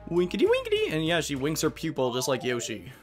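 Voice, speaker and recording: A man narrates and comments on a video game as host of a let's play. His pitch moves very freely, and faint game music sits behind him. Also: huge pitch swings, fast. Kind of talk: gameplay commentary and reading game text.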